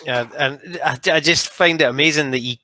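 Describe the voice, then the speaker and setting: A man's voice: on the high side, moderate pace, bright, forceful tone. A male podcast host in conversation, speaking admiringly and encouragingly to his guest. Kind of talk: conversational interview.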